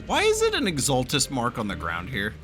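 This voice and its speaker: A man's voice: medium pitch, huge pitch swings, brisk, fairly bright tone, faint music behind. A man giving casual, sweary, joking reaction commentary while playing a game. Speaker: game streamer reacting to cutscenes.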